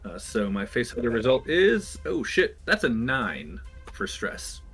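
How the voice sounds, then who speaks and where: A man's voice: medium pitch, very animated, a little slow, a bit bright, slightly rough, faint music behind. A man playing a tabletop game, talking through his rolls and giving curt orders in character as a captain. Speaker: player.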